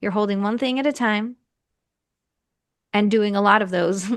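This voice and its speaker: A woman's voice: mid-range voice, moderate pace, dry recording, studio quality. A woman podcast host and marriage coach, speaking earnestly and encouragingly over a video call. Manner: earnest, encouraging, repetitive exhortations. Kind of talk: reflective coaching talk.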